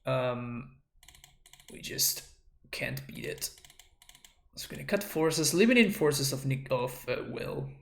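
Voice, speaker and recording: A man's voice: mid-range voice, animated, takes it slowly, creaky, long pauses, reverberant. A man from Argentina, a native Spanish speaker with a Spanish accent, casually commenting on his own play as a streamer, thinking aloud with wry, self-deprecating remarks and frequent restarts. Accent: Spanish-accented English.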